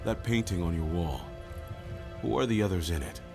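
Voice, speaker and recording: An actor playing a terse, stoic wandering demon hunter in a fantasy game, curt and sober. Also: male voice, low voice, moderate pace, music in the background. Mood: stoic, intent. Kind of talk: dramatic fantasy game acting.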